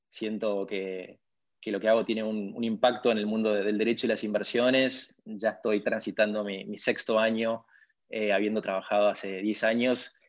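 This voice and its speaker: A man with an Argentine Spanish accent answering interview questions in a conversational way as a podcast guest. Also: on the low side. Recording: dull lo-fi audio.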